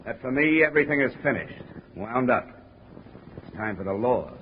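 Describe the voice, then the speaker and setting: A man's voice: mid-range voice, animated, moderate pace, lightly acted. A man acting a tough, wisecracking investigator in a 1950s radio detective drama, with an American accent and a clipped, needling manner. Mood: taunting. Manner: hard-boiled, needling, clipped. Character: tough, wisecracking male insurance investigator narrating his case. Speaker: lead actor and narrator. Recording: muffled, noisy 1950s radio recording.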